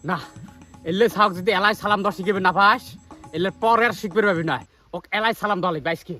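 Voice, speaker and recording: A man playing a cranky, easily offended fasting elder in a comedy skit, hectoring with repeated questions in a northern Bangladeshi Rangpuri accent. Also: high voice, bright, forceful tone, soft background music. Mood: scolding, indignant. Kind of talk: comedy skit acting in rural dialect.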